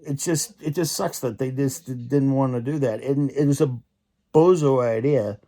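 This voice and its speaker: A man's voice: mid-range voice, unhurried, dark tone. A young man in a casual opinion rant, rambling with many restarts and repeated fillers. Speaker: vlogger.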